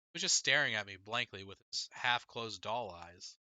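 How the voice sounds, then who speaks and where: A man's voice: on the low side, animated, moderate pace, pushed and bright, no room sound. A man reading a first-person story aloud as scripted audiobook narration. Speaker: audiobook reader.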